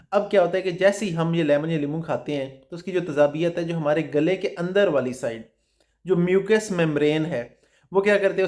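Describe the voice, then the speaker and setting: A man's voice: fairly high pitch, a little mellow. A man hosting a health explainer as a food specialist, teaching patiently, asking himself rhetorical questions and repeating his points. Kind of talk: explanatory health talk to camera.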